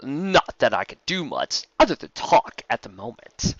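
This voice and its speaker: A man's voice: mid-range voice, very animated, a little slow, a bit bright, dry recording, dull audio, exaggerated voice. A man voicing a mocking, serpentine chaos spirit with a sarcastic, drawling, theatrical delivery in a fantasy story. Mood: sarcastic, idle.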